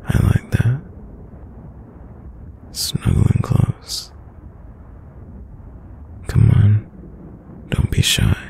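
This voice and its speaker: A man playing a tender, teasing boyfriend in an intimate, soft-spoken ASMR roleplay, with a very deep, gravelly voice. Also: slight background noise, full character voice. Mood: affectionate, soothing. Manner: slow, soft-spoken, soothing, affectionate. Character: tender, teasing young boyfriend caring for sick partner. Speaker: voice actor.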